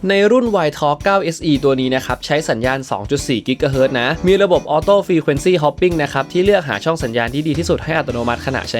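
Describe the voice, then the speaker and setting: A man narrating a product review in an explanatory way, with frequent polite particles. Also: fairly high pitch. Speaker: tech reviewer and production crew member. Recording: background music under the voice. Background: background music.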